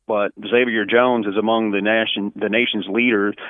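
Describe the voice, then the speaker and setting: A man's voice: fairly low pitch, little pitch movement, moderate pace, no room sound, narrow, tinny audio. A man who works as a play-by-play announcer giving detailed, articulate sports commentary as an interview guest, conversationally.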